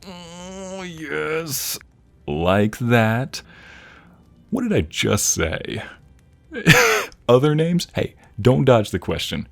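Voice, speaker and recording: A man playing an affectionate, playfully teasing husband in an intimate ASMR roleplay, soft, husky and gravelly, with murmured coaxing and breathy whispers. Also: mid-range voice, sing-song, drawn-out words, long pauses, exaggerated voice. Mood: teasing, amused. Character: affectionate, playfully teasing, sappy husband doting on his wife.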